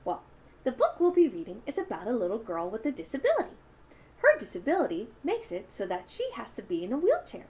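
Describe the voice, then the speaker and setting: A woman's voice: on the high side, wildly swooping pitch, a little fast, a little mellow. A woman voicing a cheerful, friendly, childlike bird puppet, chatting earnestly to children at home.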